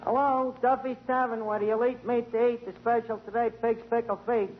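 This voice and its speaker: A man's voice: extremely high pitch, little pitch movement, brisk. A man hosting a 1940s radio comedy as a tavern manager, brash and wisecracking, tripping over malapropisms. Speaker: tavern manager and show host. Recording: dull old radio broadcast with faint hiss.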